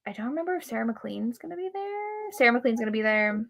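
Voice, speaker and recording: A woman's voice: a little high, very animated, fast, vocal fry, fairly bright tone. A woman co-hosting a live book readalong show, chatting enthusiastically with a friend about authors and events. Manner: enthusiastic fan chatter, laughs easily. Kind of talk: casual chat between friends.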